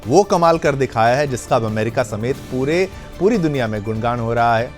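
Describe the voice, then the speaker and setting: A man's voice: medium pitch, expressive, normal speed. Male news anchor over background music. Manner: explanatory, repeats and rephrases points for viewers. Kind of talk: news presentation with conversational explanations. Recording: music bed under the voice.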